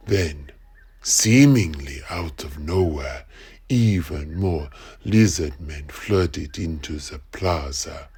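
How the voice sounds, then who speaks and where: A man playing an explorer in an audio drama, grimly recounting a battle in a husky, gravelly voice with deliberate, drawn-out phrasing. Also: sits low, full character voice. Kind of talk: dramatic audio play narration.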